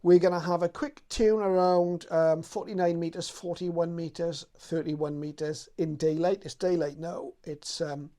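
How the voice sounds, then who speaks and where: A man's voice: a little high, steady tone, measured pace, hardly any pauses, dark tone. A man hosting a radio how-to video, talking in a casual, unscripted and explanatory way.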